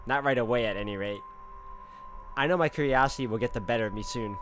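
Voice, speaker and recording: A man's voice: mid-range voice, fast talker, music in the background. A man hosting a game walkthrough, reading story text aloud and adding casual comments. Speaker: gaming video host.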